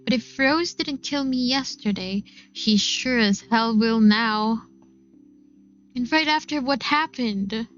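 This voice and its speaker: A woman reading a visual novel aloud in an expressive, unhurried first-person narration. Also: on the high side, muffled recording. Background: game music.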